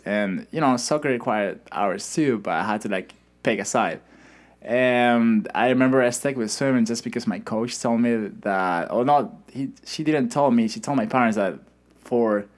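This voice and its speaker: A young man from Spain, a swimmer guest in a casual interview, talking in a relaxed, hesitant way with many fillers and restarts. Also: mid-range voice. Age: young adult.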